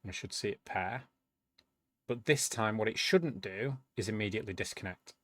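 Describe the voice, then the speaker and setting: A man's voice: fairly low pitch, moderate pace, dry recording. A man casually walking viewers through a step-by-step tech tutorial, explaining as he goes. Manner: relaxed, explanatory, thinking aloud while demonstrating. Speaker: tutorial video host.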